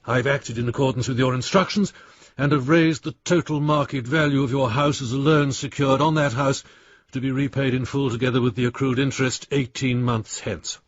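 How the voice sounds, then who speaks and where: A man's voice: mid-range voice, fairly even intonation, fairly quick, no echo, lo-fi sound, close to an everyday voice. An actor playing a crusty older lawyer in a radio drama, reading out his letter in a blunt, dry, precise manner. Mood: grudging, formal. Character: crusty, exasperated older lawyer, loyal old friend.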